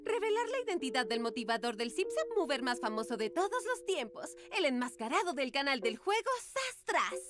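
A very high, swooping teenage diva in a cartoon. She is vain and scheming, savoring her phrases with haughty, gloating theatricality. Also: big pitch swings, normal speed, hardly any pauses. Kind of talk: dubbed cartoon acting.

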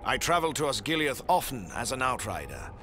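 A man's voice: medium pitch, big pitch swings, fast, noisy background, natural voice. A man playing a proud veteran soldier and outrider in a fantasy game, with dramatic delivery. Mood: earnest.

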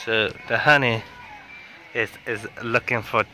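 A man's voice: medium pitch, fairly even intonation, measured pace, sharp tone. A young man filming a family vlog in a supermarket, chatting casually with jokey, teasing banter. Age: young adult. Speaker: vlogger, father. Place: large supermarket, indoors. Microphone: handheld camera held by the filmer.

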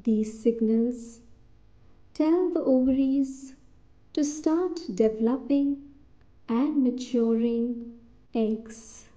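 A woman narrating a scripted guided meditation in a soothing, deliberate way, in short phrases with pauses between them. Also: a little high, dark tone, muffled recording.

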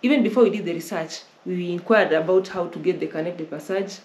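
A woman's voice: fairly low pitch, fast, a little mellow. A young Kenyan woman hosting a casual Q&A, giving travel advice and often rephrasing as she explains. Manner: repeats and rephrases while explaining. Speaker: travel vlogger host. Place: indoor living room, on a sofa. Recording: roomy with faint noise.

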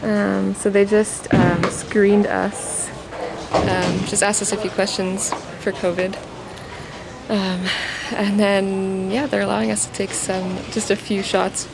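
A young woman chats through her road-trip vlog from a moving car, with lots of ums along the way. Her voice has a gravelly, creaky edge, and loud road noise runs under it. She films with a camera held at arm's length. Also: medium pitch, little pitch movement, slow. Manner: chatty, with many ums. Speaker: vlogger.

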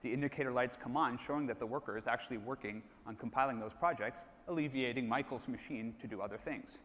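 A man's voice: medium pitch, fast, fairly bright tone, slight background noise. A man in his thirties presenting a live product demo on stage, explaining steadily and calling on colleagues by name. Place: large stage, hall. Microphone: lapel mic. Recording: reverberant hall.

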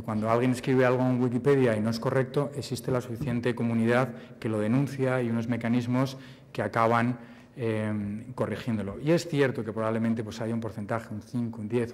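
A man's voice: medium pitch, a little mellow. A man in his forties answering audience questions at a conference podium, thoughtful and repeating words as he searches for phrasing. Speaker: conference speaker. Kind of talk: Q&A answer at a forum. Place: large banquet hall. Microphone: podium mic. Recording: hall reverb.

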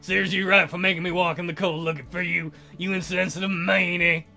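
A man voicing a caring, practical young woman from a visual novel, gently scolding and teasing. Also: high-pitched, flat delivery, fairly quick, vocal fry, soft background music, full character voice.

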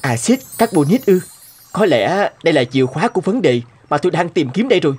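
Young male cartoon doctor, curious and thoughtful, animated delivery over soft music. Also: fairly high pitch, fast talker, husky. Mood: excited. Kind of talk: cartoon dubbing, educational storytelling. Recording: music bed under dubbed dialogue. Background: background music.

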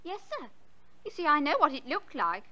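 A woman's voice: high voice, sing-song, moderate pace, sharp tone, lo-fi sound, exaggerated voice. An actress playing a timid, earnest housemaid in a radio drama, meek and respectful toward her betters. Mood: deferential.

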